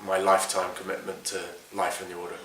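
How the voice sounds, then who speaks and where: A man who is a Dominican friar, speaking calmly. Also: sits low, fast talker, roomy sound, distant mic, a little hiss or hum, low-quality recording.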